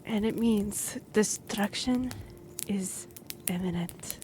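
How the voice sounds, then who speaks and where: A woman's voice: medium pitch, slow pace, background noise, natural voice. A woman playing an earnest young half-elf noblewoman and royal envoy in a fantasy roleplay audio drama, speaking formally with some awkward hesitation. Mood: grave. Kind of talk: fantasy roleplay audio acting, addressing the listener.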